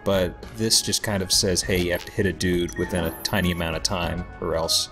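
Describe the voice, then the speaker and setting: A man giving casual, somewhat halting commentary as host of a gameplay video, with a self-deprecating manner. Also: low voice, fairly even intonation. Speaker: let's play host. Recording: game music behind voice. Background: video game music.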